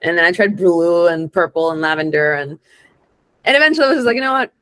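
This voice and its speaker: Chatty young woman, slightly low voice. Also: quick pace, dry recording. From Honduras. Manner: informal and expressive, peppered with "like", acts out quoted lines. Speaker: guest, real estate investor and entrepreneur. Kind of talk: casual, chatty interview storytelling.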